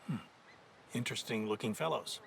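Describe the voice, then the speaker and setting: An actor playing a pompous, blustering army colonel in a video game, halting and condescending. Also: male voice, medium pitch, creaky, fairly bright tone, a little hiss or hum. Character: pompous, blustering army colonel, short-tempered older officer. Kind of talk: dramatic video game acting.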